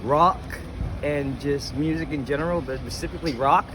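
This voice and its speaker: A man's voice: a little high, normal speed. A man chatting casually as an interviewee, hesitant and often echoing a question before he answers. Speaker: interviewee, music fan at a show. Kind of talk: casual chat. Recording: noisy outdoor urban street with traffic.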